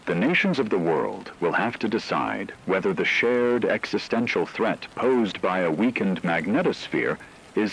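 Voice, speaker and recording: A man playing a grave, resolute veteran crisis broadcaster, delivering a solemn, deliberate monologue on emergency radio. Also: lowish voice, a bit bright, husky, full character voice, poor audio. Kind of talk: scripted dramatic radio monologue.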